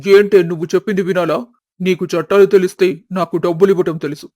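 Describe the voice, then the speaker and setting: Man playing an arrogant, high-pitched bullying husband. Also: steady tone, fast, darkish tone, dry recording, clean studio sound, natural voice. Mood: sneering, threatening. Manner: taunting, menacing.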